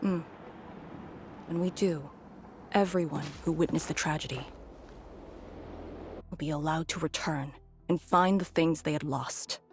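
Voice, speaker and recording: A woman voicing a grave, resolute veteran leader in a video game, speaking in a measured, solemn way. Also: sits low, fairly even intonation, noisy background. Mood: solemn, tender. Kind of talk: scripted video game dialogue.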